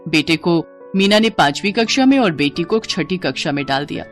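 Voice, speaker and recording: A woman's voice: on the low side, expressive, moderate pace, faint music behind. A woman storyteller delivering scripted narration for a moral tale.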